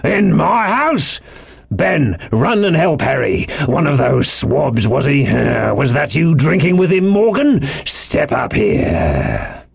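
A bold, husky seafaring landlord, acted out by a male audiobook reader, hearty one moment and stern the next. He draws out his words loudly and emphatically, with gravel in the throat and big swings of pitch. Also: big pitch swings, no echo, clean studio sound, full character voice. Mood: indignant. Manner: loud, emphatic, drawn-out old sailor's speech. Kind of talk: audiobook character voice.

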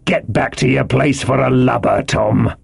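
A man voicing a hearty, commanding seafaring tavern landlord, loud and emphatic with drawn-out words, in an audiobook. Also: medium pitch, fairly even intonation, vocal fry, breathy edge, no echo, studio quality, full character voice. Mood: stern, bullying. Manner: loud, emphatic, drawn-out old sailor's speech. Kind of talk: audiobook character voice.

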